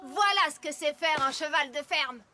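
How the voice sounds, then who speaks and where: A woman's voice: high-pitched, normal speed, music in the background, natural voice. A woman playing a hearty, confident female farm pony in a cartoon dub, with a folksy, teasing manner. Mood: gleeful. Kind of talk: animated cartoon dub acting.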